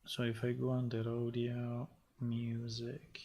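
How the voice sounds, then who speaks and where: A man speaking at an unhurried, slow pace in a middle pitch. He talks casually as a game developer working on a live stream. He thinks aloud, stopping and restarting with many fillers. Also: monotone, dark tone.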